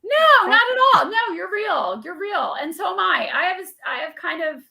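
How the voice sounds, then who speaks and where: A woman guest co-hosting a podcast, chatty and eager, with a high-pitched voice. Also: sing-song, moderate pace, creaky, pushed and bright. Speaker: guest co-host. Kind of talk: casual chat.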